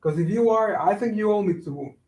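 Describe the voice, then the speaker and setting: Casting: male actor as teasing neighborhood pal for a stage play reading, high-pitched voice, heard from afar. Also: expressive, brisk, darkish tone. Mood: teasing, friendly. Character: Tiago, teasing neighborhood buddy, building worker. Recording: echoey room, far from mic.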